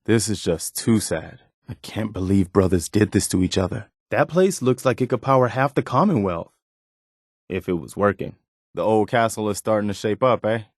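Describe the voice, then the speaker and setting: An actor playing an earnest, steadfast militia soldier companion in a post-apocalyptic video game, speaking sincerely and in a measured way. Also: male voice, on the low side, lively intonation, dry recording, clean studio sound. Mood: somber, sincere, then curious, amused. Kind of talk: video game companion dialogue, naturalistic acting.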